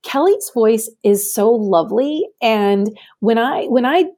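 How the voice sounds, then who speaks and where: Raspy-voiced woman podcast host. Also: fairly high pitch, animated, slow pace, dark tone. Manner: candid, encouraging. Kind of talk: solo podcast outro.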